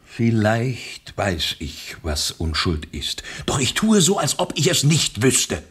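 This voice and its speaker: Fanatical revolutionary, wildly swinging delivery. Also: male voice, wildly swooping pitch, slow, husky, exaggerated voice. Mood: impassioned. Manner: impassioned, declamatory, swinging from low to loud. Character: fanatical, embittered male Russian revolutionary, ruthless and proud. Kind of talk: radio play acting.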